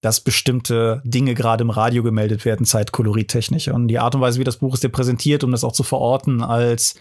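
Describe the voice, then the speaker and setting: A man's voice: mid-range voice, flat delivery, fairly quick, darkish tone. Conversational man explaining at length. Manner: fluent, explanatory, fills in with casual fillers. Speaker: podcast panelist. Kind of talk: casual discussion.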